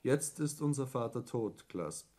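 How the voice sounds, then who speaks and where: An actor playing a brusque, businesslike elder son in a radio play, curt and commanding. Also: male voice, mid-range voice, lively intonation, a little slow, warm tone. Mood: cold, pressing. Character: brusque, businesslike, domineering elder son taking over family firm. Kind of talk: 1960s German radio play acting.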